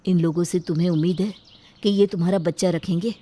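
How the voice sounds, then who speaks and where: A woman's voice: lowish voice, fairly even intonation, fast talker, dark tone, lightly acted. A woman voicing a principled, protective young man in a dramatized moral story.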